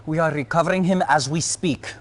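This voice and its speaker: An actor playing a boastful, defensive Soviet military commander in a video game, emphatic and blustering. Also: male voice, fairly high pitch, fairly quick, lightly acted. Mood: nervous, defensive. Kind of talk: video game cutscene acting.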